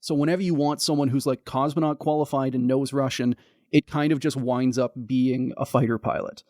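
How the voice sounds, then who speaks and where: A man's voice: mid-range voice, fairly even intonation, a little fast, darkish tone, no room sound, studio quality. A man co-hosting a casual history podcast, telling the story in a laid-back way with lots of fillers. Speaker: podcast co-host.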